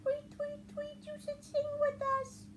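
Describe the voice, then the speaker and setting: A woman's voice: very high-pitched, completely flat delivery, a little slow, noisy background, voice pushed up. A woman voicing cheerful, curious animals in a storybook, eager and sing-song as they offer friendly advice. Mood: chirpy.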